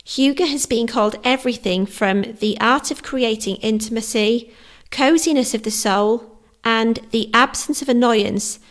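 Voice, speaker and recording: A woman hosting a podcast, reading a scripted narration in a soft, measured way. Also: fairly high pitch. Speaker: podcast host.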